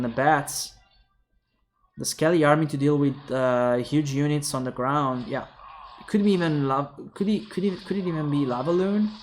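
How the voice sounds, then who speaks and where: A young man with an Italian accent casting a mobile game tournament alone, calling plays quickly and thinking aloud to viewers. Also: medium pitch, a little hiss or hum. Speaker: esports caster. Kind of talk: solo esports play-by-play commentary.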